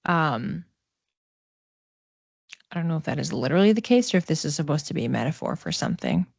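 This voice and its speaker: A dry, slightly dull lo-fi recording of a woman with a lowish voice. She speaks casually as a psychic reader, improvising and thinking aloud, often pausing and hedging as she goes. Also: big pitch swings, gravelly, a little mellow, breathy edge, no echo. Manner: tentative, conversational, thinking aloud with pauses. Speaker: psychic reader giving live online readings. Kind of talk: casual live reading, improvised explanation.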